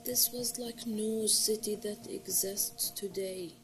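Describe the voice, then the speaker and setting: A woman narrating a story in a slow, hushed, breathy voice, heard as a played clip. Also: mid-range voice, fairly even intonation, rough recording. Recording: played clip with faint music.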